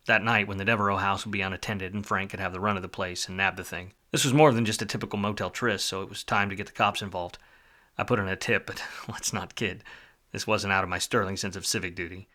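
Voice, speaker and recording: Man acting a low-voiced hardboiled noir detective. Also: fairly even intonation, fairly quick, pushed and bright. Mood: wry, weary. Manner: wry, sardonic, slangy. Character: hardboiled, wisecracking 1940s male private detective. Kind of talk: film noir radio play narration and dialogue.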